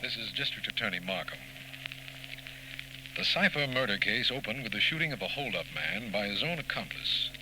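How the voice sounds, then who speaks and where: An actor playing an earnest, formal district attorney in 1940s radio style. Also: male voice, on the low side, sing-song, moderate pace. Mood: formal, narrating. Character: earnest, formal male district attorney, detective's puzzled friend. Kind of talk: 1940s radio acting and scripted narration. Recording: noisy 1940s radio recording.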